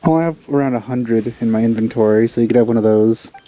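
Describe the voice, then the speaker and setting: A man giving casual, low-key gameplay commentary with dry asides, recorded close on a microphone. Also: fairly even intonation, fast talker, mellow. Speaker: let's play streamer.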